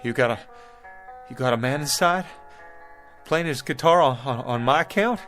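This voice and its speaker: An actor playing a bewildered, desperate pioneer man in a radio drama, halting and repeating words in agitation. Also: medium pitch, fairly bright tone, faint music behind, natural voice. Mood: baffled, demanding.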